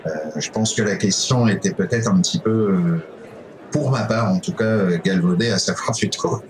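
A middle-aged man with a French accent from France, answering interview questions informally in a halting, digressive way with frequent self-corrections. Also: lowish voice, a little hiss or hum, low-quality recording. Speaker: conference speaker, cybersecurity specialist.

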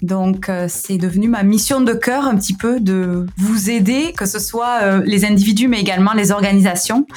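A woman's voice: mid-range voice, normal speed, hardly any pauses, darkish tone, faint music behind. A woman with a southern French accent, a podcast guest who talks earnestly with many hesitations. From southern France. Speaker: guest, company founder. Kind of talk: conversational podcast interview.

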